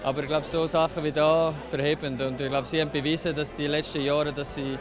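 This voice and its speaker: A man's voice: on the high side, little pitch movement, a little fast, music in the background. A man in his thirties with a Swiss German accent, a restaurant owner speaking confidently into a handheld mic at a busy venue. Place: crowded indoor venue. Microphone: handheld reporter mic.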